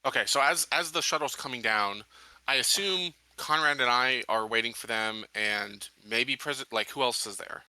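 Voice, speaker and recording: Male tabletop player, very bright forceful tone, dry sound, measured and halting. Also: medium pitch, dry recording, rough recording. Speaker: player in a tabletop roleplaying game. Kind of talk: deliberating game-table talk.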